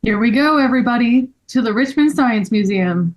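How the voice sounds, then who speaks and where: A woman's voice: on the high side, expressive, moderate pace, close to an everyday voice, rough recording. A woman playing an earnest, grateful male friend in a table read of a children's story. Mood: eager.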